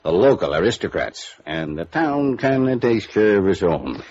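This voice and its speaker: An actor playing a frank, gossipy small-town bank president with a folksy, confiding manner in a 1950s radio drama. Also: male voice, deep voice, very animated, normal speed, darkish tone. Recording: dull vintage radio recording.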